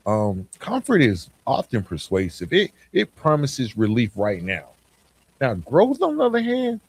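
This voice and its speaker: A male podcast host delivers a motivational monologue in a medium-pitched voice. His intonation moves widely and expressively, with deliberate emphasis on key phrases. He speaks straight to the listener, often framing points as rhetorical questions.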